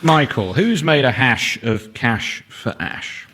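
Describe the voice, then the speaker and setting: A man's voice: mid-range voice, animated, takes it slowly, background noise. A man with a posh English accent hosting a comedy panel show with wry, polite sarcasm. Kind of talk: comic panel show hosting, part scripted.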